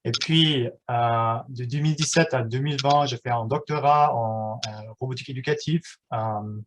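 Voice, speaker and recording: A man in his thirties whose first language is German, giving a live online workshop in a halting way, with many fillers and restarts. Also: mid-range voice, slight background noise. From German-speaking Switzerland.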